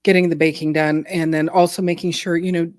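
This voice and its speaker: A middle-aged woman from Canada hosting a casual live stream, chatty, laughing often and clearing her throat. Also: fairly low pitch, level tone, fast talker, darkish tone, no echo, clean studio sound. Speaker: host.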